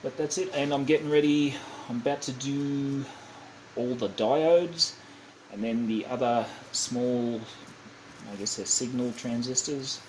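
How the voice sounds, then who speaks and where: A man giving a casual, unscripted hands-on demonstration, halting and thinking aloud as he goes, often correcting himself. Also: medium pitch, darkish tone. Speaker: hobbyist demonstrating a kit build. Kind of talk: casual unscripted how-to narration. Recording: clearly noisy amateur home recording.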